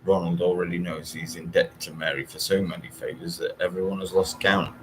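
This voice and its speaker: A man reading a story aloud in an expressive audiobook style. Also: sits low, a little fast, noisy background. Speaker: author reading own novel.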